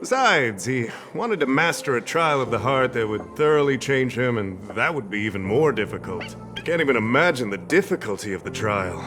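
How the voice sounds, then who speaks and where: A man's voice: medium pitch, very animated, normal speed, over music, natural voice. A man playing a vain, pompous professor in a video game, speaking dramatically and evasively. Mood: smug, weary. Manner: pompous, dramatic, stammering when flustered. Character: vain, theatrical male professor, evasive and easily flustered.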